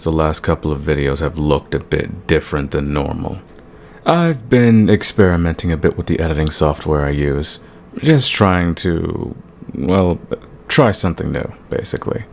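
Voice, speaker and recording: A man hosting his audio channel, giving a casual update straight to his listeners. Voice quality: very deep, gravelly. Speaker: audio roleplay creator, channel host. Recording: clear background hiss.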